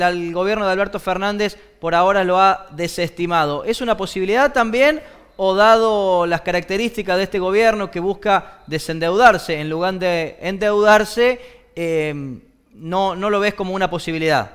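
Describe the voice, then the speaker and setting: A man's voice: sits high, normal speed, a bit bright, clean tone. An Argentine man hosting a news interview and putting questions to a guest. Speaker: program host, interviewer.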